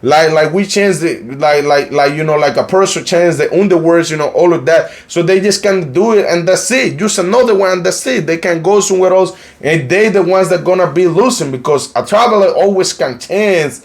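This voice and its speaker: Colombian Spanish-accented man, fairly high voice. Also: moderate pace, hardly any pauses. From Cartagena, Colombia. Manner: rambling, heavy use of "you know, like", frequent restarts. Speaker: YouTube news channel host. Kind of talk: casual commentary vlog.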